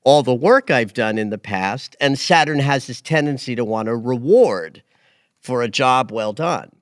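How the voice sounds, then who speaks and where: A man in his late fifties hosting an astrology podcast, talking casually and expressively, rising to emphatic highs and dropping into quiet asides. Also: expressive, a little slow, no room sound, studio quality. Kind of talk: casual conversational chat.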